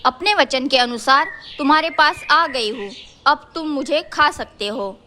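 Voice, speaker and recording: A woman plays a gentle mother cow in a children's cartoon fable. The voice is high and earnest, pleading like a devoted, honest parent. Clear noise sits in the recording. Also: unhurried. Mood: resigned. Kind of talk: children's animated moral story dialogue.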